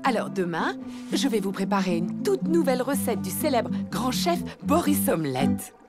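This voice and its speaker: A woman voicing a proud, motherly elephant in a children's cartoon, with expressive, theatrical delivery. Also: mid-range voice, normal speed, natural voice. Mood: proud, brisk. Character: cheerful, proud elephant mother, keen amateur cook. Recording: loud music under the voice.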